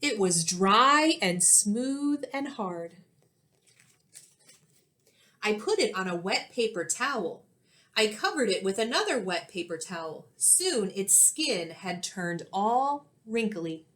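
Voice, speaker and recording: Expressive woman teacher reading to children. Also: mid-range voice, slow. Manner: clear, expressive, instructive delivery for young children. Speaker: elementary school teacher. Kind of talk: children's storybook read-aloud and lesson. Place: small indoor classroom-like room.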